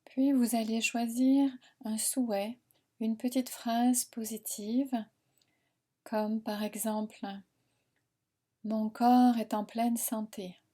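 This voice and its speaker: A woman guiding a meditation in a soothing, unhurried, instructing manner with long pauses. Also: a little high, warm tone. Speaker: relaxation and yoga nidra guide. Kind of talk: guided meditation narration.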